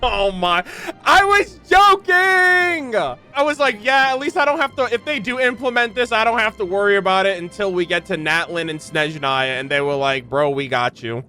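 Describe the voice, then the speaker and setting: A man's voice: high voice, normal speed, bright, forceful tone. A man doing casual, slangy reaction commentary on a game, swearing and exclaiming as he goes. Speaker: host of a game reaction channel.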